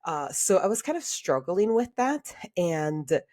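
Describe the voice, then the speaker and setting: A woman with a slightly low voice talks as a guest on a podcast. She grew up in Honduras and then the United States, and she tells personal stories in a candid, reflective, conversational way. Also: big pitch swings, a little slow, a little mellow. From Honduras until age eight, then United States. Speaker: podcast guest, career coach.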